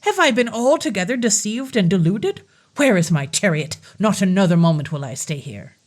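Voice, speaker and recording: A woman's voice: mid-range voice, lively intonation, moderate pace, close to an everyday voice. A woman reading a young lovesick king, declamatory. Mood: defiant. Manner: pleading, declamatory.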